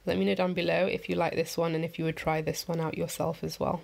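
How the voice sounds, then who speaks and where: A woman vlogger with a croaky morning voice, talking through a head-wrap tutorial in a chatty, rambling, upbeat voiceover. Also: fairly low pitch, level tone, quick pace, darkish tone. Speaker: fashion vlogger. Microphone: voiceover mic.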